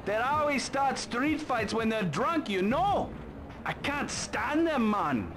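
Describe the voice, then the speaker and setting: A chatty young street vendor in a video game, his high voice swooping wildly up and down. Every line comes out slow and stretched, with a gravelly edge under the exaggerated, sing-song lilt. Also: sing-song, noisy background, heavily acted. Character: young male food stand vendor, chatty, broke. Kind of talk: dubbed game dialogue. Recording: game audio heard through a stream.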